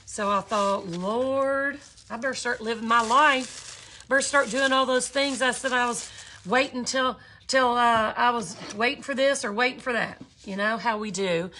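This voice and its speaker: Woman, fifties, chatty craft teacher, slow unhurried speech, bright voice, faint background hiss. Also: fairly high pitch. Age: 50s. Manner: chatty, cheerful, reads out viewer comments. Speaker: glass art instructor. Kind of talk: livestream craft tutorial chatting with viewers.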